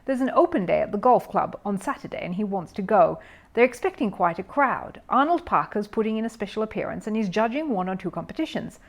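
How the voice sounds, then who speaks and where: A woman's voice: medium pitch, animated, fairly quick, creaky. Woman playing a chatty, explaining housewife. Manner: explanatory, conversational. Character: practical, chatty middle-class housewife. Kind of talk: children's storybook character reading.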